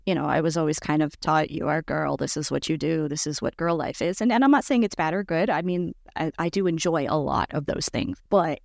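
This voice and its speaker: A woman in her forties talks in a lively, squeaky voice that sits a little low at rest. She jumps way up in pitch when acting out her own thoughts. The sound is close and dry. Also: no room sound. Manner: animated, jumps high in pitch when quoting herself. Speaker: podcast guest. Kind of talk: casual chat.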